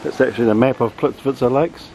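A man's voice: mid-range voice, brisk, vocal fry. A man in his fifties chatting casually and unhurriedly to a handheld camera as a travel vlogger. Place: outdoors on city streets and roadside. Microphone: handheld camera at arm's length. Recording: noisy outdoor audio with traffic and wind.

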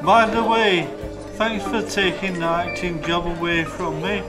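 Man playing a bitter, high-voiced barfly actor. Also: fairly even intonation, measured pace. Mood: resentful. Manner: resentful, sarcastic. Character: bitter, struggling male actor drinking at a bar. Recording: music playing loudly over the voice.

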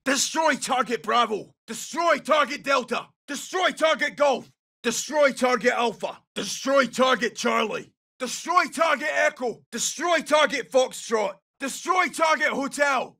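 An actor playing a gruff, brash Scottish special forces soldier in a military shooter game, giving clipped, urgent tactical callouts in a rough, gravelly voice. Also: male voice, very high voice, expressive, measured pace, pushed and bright, raised voice, recorded from a distance, exaggerated voice. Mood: urgent shouting.

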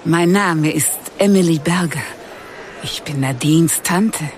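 An actress playing an older, grieving aunt in an audio drama, speaking politely and hesitantly. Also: female voice, low-pitched, takes it slowly, a little hiss or hum. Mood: hesitant, moved. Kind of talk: audio-play acting.

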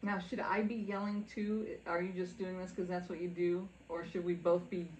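A woman's voice: fairly low pitch, flat delivery, moderate pace, a little mellow, highs cut off. A woman in her late thirties in a casual chat, teasing with dry quips. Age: 30s. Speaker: podcast co-host. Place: living room full of shelves.

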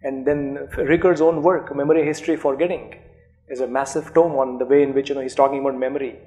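A man's voice: mid-range voice, little pitch movement, quick pace, warm tone. A middle-aged man with an Indian accent, a native Urdu speaker, speaking thoughtfully as an author in an academic conversation, with many fillers and restarts. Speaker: author being interviewed. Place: seminar room, indoors. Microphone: handheld microphone.

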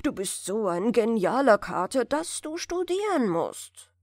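Woman as a chummy, animated young student. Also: a little high, unhurried, no echo, studio quality, heavily acted. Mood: earnest, encouraging. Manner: chummy, mock-earnest. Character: jolly young male student, friendly and advising.

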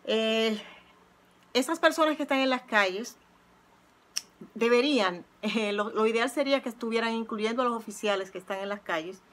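A woman's voice: a little high, moderate pace, a bit bright. Earnest middle-aged woman vlogging from home. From not Puerto Rico, living there twenty years. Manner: earnest, reflective, frequent "eh" fillers. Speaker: channel host. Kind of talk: casual heartfelt vlog monologue. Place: living room at home, seated on a couch. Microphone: phone or webcam close up.

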